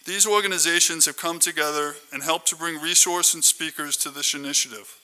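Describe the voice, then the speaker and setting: A man's voice: on the high side, fairly even intonation, a little fast, bright, forceful tone, low-quality recording. A man hosting a conference, giving a formal, prepared introduction speech. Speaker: conference host introducing keynote speaker.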